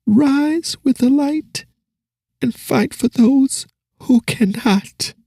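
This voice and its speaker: A man voicing a wounded young girl, wise beyond her age, with a thin, fading voice and slow, solemn, labored delivery in an audiobook story. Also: very high voice, vocal fry, dark tone, husky, dry recording, clean studio sound, pitched above the performer's own voice, exaggerated voice. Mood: pained, solemn.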